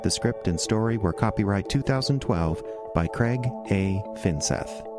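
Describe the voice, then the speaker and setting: Slow, deep-voiced male announcer over music. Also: expressive. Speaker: announcer reading credits. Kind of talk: scripted radio drama credits announcement.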